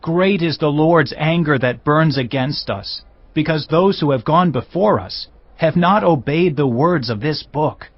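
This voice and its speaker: A man's voice: on the high side, a little slow, no echo. A man playing an earnest, commanding king of Judah with formal, emphatic delivery in a scripture drama. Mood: grave urgency. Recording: slightly dull, muffled audio.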